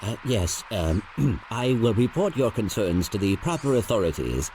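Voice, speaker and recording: A polite little video game robot, with a fairly low voice and lively intonation. He phrases everything formally and precisely, sounding prim and courteous. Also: moderate pace, a little hiss or hum. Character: small, polite, prim male robot sidekick. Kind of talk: video game cutscene acting.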